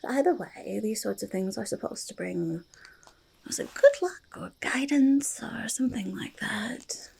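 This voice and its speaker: A woman voicing a curious, friendly forest goblin in a soft ASMR roleplay, speaking haltingly in breathy whispers and creaky murmurs, repeating words. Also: medium pitch, lively intonation, exaggerated voice. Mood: quietly fascinated. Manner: halting, third-person speech with doubled words. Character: curious, eager, friendly forest goblin who hoards treasure.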